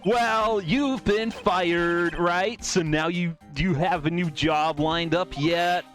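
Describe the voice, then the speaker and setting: A man's voice: sits high, fairly even intonation, slow, a bit bright, faint music behind. A man voicing an earnest young defense lawyer in a fan game dub, reassuring and a little strained. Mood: exasperated.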